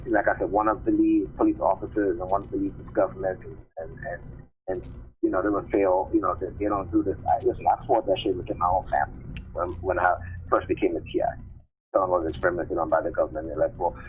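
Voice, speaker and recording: A man talking casually as a live stream host, insistent, often posing questions and checking in with short tags. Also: fairly low pitch. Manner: insistent, rhetorical questions and repeated check tags like "right?" and "okay?". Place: remote call-in on a live stream. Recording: muffled phone-line audio with clear noise.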